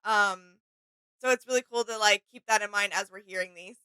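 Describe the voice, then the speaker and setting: Bright, agreeable woman chatting casually. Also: mid-range voice, normal speed, clean tone, no room sound, low-quality recording. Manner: agreeable, appreciative. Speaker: reaction video co-host. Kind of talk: casual reaction chat.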